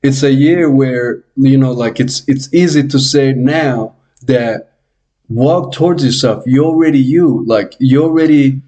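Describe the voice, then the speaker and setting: A man hosting a podcast, asking relaxed questions and often repeating words as he searches for what to ask. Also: mid-range voice, a little slow. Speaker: podcast host. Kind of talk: casual interview questions.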